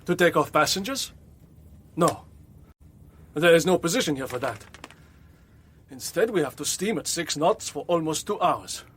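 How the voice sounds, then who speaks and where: A proud, stubborn ship's captain with a fairly high male voice. He is blunt and suspicious, firing short wary questions in a radio drama, with faint noise around him. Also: expressive, moderate pace, long pauses, fairly bright tone.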